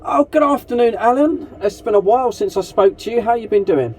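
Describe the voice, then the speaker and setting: Mellow-toned Englishman chatting on CB radio. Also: very high voice, brisk. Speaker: amateur CB radio operator running a group net. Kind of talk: casual CB radio chat with call signs and jargon. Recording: noisy CB radio transmission with hiss.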